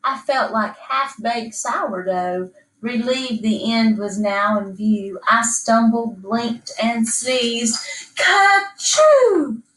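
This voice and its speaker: A woman's voice: on the high side, fairly even intonation, takes it slowly, fairly bright tone. A middle-aged woman reading a rhyming children's picture book aloud in a rhythmic, dramatized way. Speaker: storybook reader. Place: small indoor room. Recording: echoey room, distant microphone.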